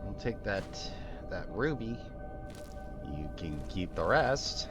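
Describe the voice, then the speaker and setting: A man's voice: fairly low pitch, big pitch swings, slow pace, over music. A man hosting a gaming video with a stuffed-up, congested nose, chatting playfully and muttering to himself as he plays. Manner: playful, theatrical, muttering to himself while playing. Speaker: gaming channel host. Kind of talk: casual let's play commentary.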